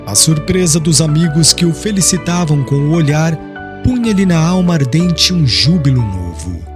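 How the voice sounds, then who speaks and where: A man reading a literary audiobook narration in a solemn, formal, expressive manner. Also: a little high, normal speed, music in the background.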